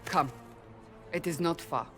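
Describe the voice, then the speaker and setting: A woman's voice: lowish voice, a little slow, loud music behind the voice, natural voice. A woman playing a stern, commanding warrior in a fantasy game, curt and grave. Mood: grave, firm. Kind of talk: dramatic fantasy game acting. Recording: clearly noisy outdoor game ambience.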